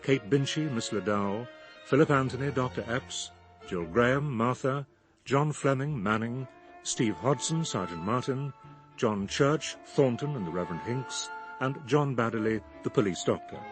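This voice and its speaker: A male radio announcer with a mid-pitched voice over clear music. He reads scripted credits formally and steadily. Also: lively intonation, normal speed.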